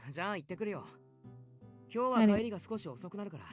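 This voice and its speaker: Caring, casually blunt male reporter for a visual novel, quick delivery, musical backing. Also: sits high, expressive. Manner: casual, blunt but kindly. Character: caring, gently scolding male newspaper reporter, protective housemate. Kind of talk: Japanese visual novel voice acting. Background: game music.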